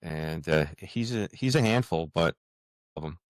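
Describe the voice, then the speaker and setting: A man's voice: deep voice, animated, unhurried, dry recording, studio quality. A man answering as an author guest in a casual interview, hesitant, hedging and repeating words as he thinks.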